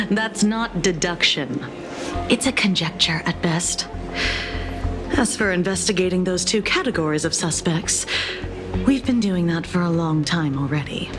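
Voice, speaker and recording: A shrewd, composed policewoman from a video game. Her voice is on the low side, measured and teasing with a skeptical edge. Music is clearly heard underneath. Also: animated.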